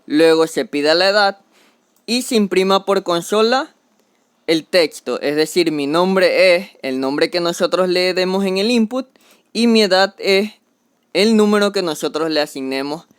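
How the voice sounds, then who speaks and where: A man's voice: a little high, smooth, no echo. A young man explaining a coding tutorial step by step, talking through each action as he does it on screen. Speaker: programming tutor.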